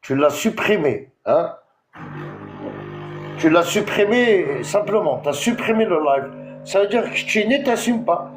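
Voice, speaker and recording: A man's voice: high-pitched, animated, unhurried, echoey room, music in the background. A man from Algeria, hosting a TikTok live and ranting at someone with many hesitations. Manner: confrontational, repetitive, full of hesitations. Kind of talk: heated live-stream rant.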